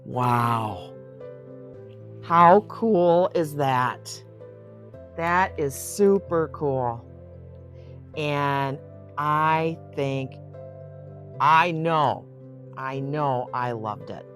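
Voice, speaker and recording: An older woman with a low voice hosts a storybook read-aloud. She takes her time and speaks directly to young listeners, adding effusive, chatty asides. Faint music plays behind her. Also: very slow, pauses often, a bit bright. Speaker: host reading picture books aloud.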